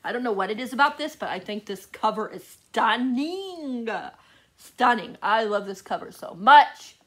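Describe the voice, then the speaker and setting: A woman with a fairly high voice talks in a casual, chatty vlog style. She gushes as she goes, doubling up words for emphasis and speaking straight to her viewers. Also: animated, a little slow, a bit bright. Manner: gushing, repeats words for emphasis. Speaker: book vlogger.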